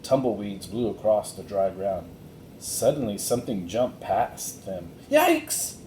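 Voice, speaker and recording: A man reading a children's storybook aloud in a deliberate, expressive way. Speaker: reader of a children's chapter book. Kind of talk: scripted storybook narration, read aloud. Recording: roomy echo, faint noise and rumble.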